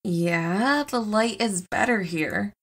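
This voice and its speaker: An actress playing a nonchalant, teasing wife who is secretly a superstrong heroine, in an audio comedy drama. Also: female voice, medium pitch, slow, fairly bright tone. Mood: breezy.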